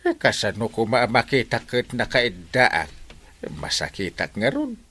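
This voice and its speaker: Whiny malingering village captain, wildly swooping. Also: male voice, medium pitch, huge pitch swings, a little slow, pushed and bright, exaggerated voice. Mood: whining, feigning. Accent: Ilocano. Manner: whiny, theatrical, stammering. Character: lazy village captain whining and feigning illness. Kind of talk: comic radio drama acting.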